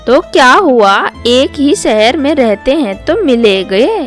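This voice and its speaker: A woman's voice: high voice, big pitch swings, slow pace, faint music behind, exaggerated voice. A woman voicing a middle-aged mother, exasperated, sensible and scolding, in a storybook tale. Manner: scolding, no-nonsense.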